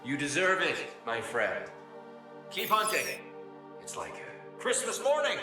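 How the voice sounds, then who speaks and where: A man's voice: on the high side, huge pitch swings, unhurried. A man playing a slick, wheedling scientist in a video game cutscene, glib and over-friendly. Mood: chummy, eager. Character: slick, wheedling male scientist with money on his mind. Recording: echoing, distant, music behind.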